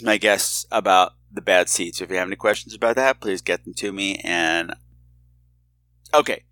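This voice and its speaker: Low, bright-voiced man with animated swings. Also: normal speed, no echo. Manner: informal, scattered, theatrical flourishes. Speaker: podcast host. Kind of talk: casual, rambling show introduction.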